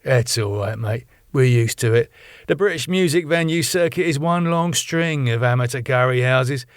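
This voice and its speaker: A man's voice: mid-range voice, moderate pace, clean tone, no room sound, studio quality, lightly acted. A man reading the part of a brash, streetwise tour manager in his thirties, chatty and matey. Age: 30s.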